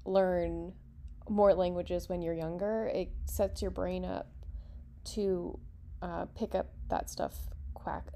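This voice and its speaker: A woman co-hosting a casual discussion, explaining her views in a relaxed, steady way with a few fillers. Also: fairly low pitch, vocal fry. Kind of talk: casual chat.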